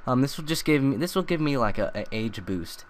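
A young man talking through a software tutorial in a loose, rambling way, full of ums and uhs. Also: mid-range voice, expressive, fairly quick. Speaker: tutorial maker. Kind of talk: casual screen-recorded tutorial.

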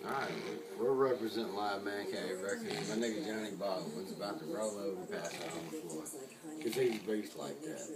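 A drunk young man joking and rambling rowdily while filming a late-night party in a small home bar room, swinging between shouts and mumbles. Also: on the low side, moderate pace, creaky, loud music behind the voice. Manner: rowdy, slurring, joking, often shouting or mumbling.

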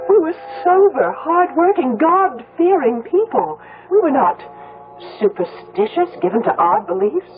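An actress playing a sensible, skeptical grown daughter in a 1970s radio drama, earnest and questioning. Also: female voice, high voice, sing-song, measured pace, phone-quality sound, music in the background, heavily acted. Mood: grave narrating. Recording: band-limited old radio broadcast.

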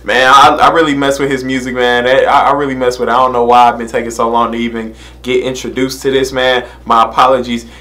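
A man's voice: medium pitch, little pitch movement, fast, few pauses, pushed and bright. A young man, reacting casually to a music video from a small room and talking into a desk camera. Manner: laid-back, laughs often, repeats "man" and "you know what I'm saying". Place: small room indoors, plain wall behind.

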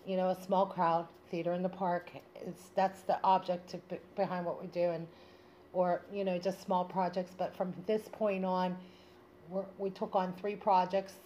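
Low-pitched woman hosting, noisy recording. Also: a little mellow. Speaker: show host. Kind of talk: informal solo monologue introducing a show. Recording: noticeable background noise.

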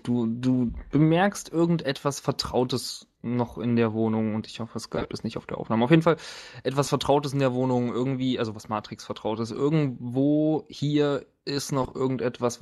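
A man acting as game master, narrating an improvised tabletop game in a playful, halting, chatty way. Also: mid-range voice, steady tone, darkish tone. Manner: playful, joking, halting descriptions.

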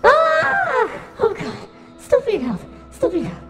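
Bumbling robot guide, female, played by a woman: high, nervous, stammering chatter, echoey amateur mic. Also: wildly swooping pitch, slow pace, bright, forceful tone, soft background music, exaggerated voice. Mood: frightened. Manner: stammering, rambling, flustered. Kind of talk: amateur fan dub of a game scene.

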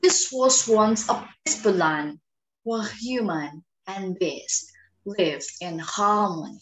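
A woman's voice: medium pitch, slow pace, natural voice. A young woman playing a vain, arrogant actress in an amateur dramatic reading, with a haughty, sarcastic manner. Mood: solemn narrating. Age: young adult. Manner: haughty, sarcastic, self-important. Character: arrogant, vain young female film star. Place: small room at home, indoors. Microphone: video call. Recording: roomy video-call sound.

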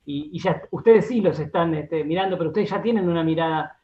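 An Argentine man being interviewed on radio, explaining in a halting, conversational way and often repeating his words. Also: a little high, lo-fi sound. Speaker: interview guest, radio drama director and writer.

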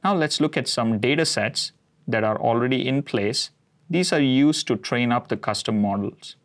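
A man in his forties whose first language is Konkani, presenting a cloud product demo in a steady, explanatory way with occasional fillers. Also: medium pitch, moderate pace. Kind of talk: scripted tech demo narration. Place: studio set with map backdrop.

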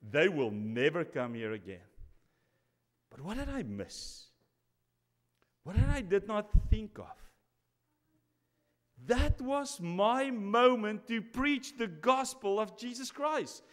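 Pastor guy at the pulpit, high voice, acts out the whole back and forth, churchy reverb. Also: slow pace, long pauses. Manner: animated storytelling, acting out both sides of a conversation. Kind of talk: sermon. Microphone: stand mic at pulpit. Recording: long reverb of a church hall.

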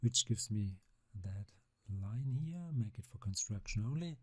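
Slow, low-voiced man. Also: lively intonation, slightly rough, dry recording. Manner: unhurried, step-by-step narration of mouse actions. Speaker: CAD software instructor. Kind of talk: screen-recorded software tutorial.

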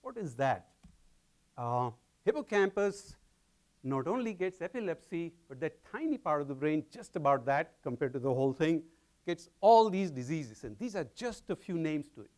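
Animated man lecturing in a hall. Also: fairly high pitch, normal speed. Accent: Indian. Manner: animated, gesturing while pacing the stage. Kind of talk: public science lecture. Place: large lecture hall with audience.

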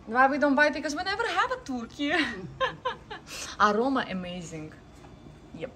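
A lively woman talks in a bright, fairly high voice that leaps up and down as she exclaims. She is filming on a phone in a home kitchen, and there is clear noise in the background of the recording. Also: moderate pace. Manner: excited, laughing, exclaiming often. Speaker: vlogger. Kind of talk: casual kitchen chat while filming. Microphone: phone camera held by the speaker.

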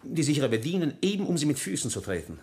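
A man's voice: a little high, very animated, racing, a little mellow. An actor playing a cynical, self-serving young grandson in a radio drama, pressing his case coolly. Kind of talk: German radio drama acting.

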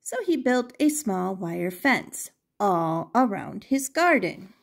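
An older woman reading a picture book aloud to young children as a grandmother would, expressive and emphatic, repeating phrases and asking questions. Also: medium pitch, takes it slowly, vocal fry.

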